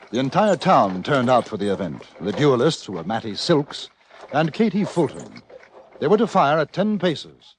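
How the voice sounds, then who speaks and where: An actor playing an urbane, formal British newspaper correspondent narrating an old-time radio western. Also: male voice, mid-range voice, natural voice. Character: refined British newspaper correspondent in the Wild West. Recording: noisy old radio recording.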